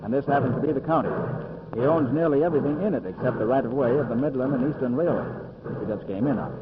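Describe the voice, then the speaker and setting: A confident male master detective, played by a radio actor, crisp and unflappable, with a mid-pitched voice. He comes through a muffled, noisy 1940s radio drama recording. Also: quick pace, lightly acted. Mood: calm, assured.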